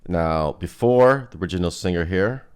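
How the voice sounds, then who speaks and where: A man giving a casual software walkthrough as presenter, thinking aloud in an informal way. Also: low-pitched, animated, moderate pace, smooth. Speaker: presenter demonstrating music software.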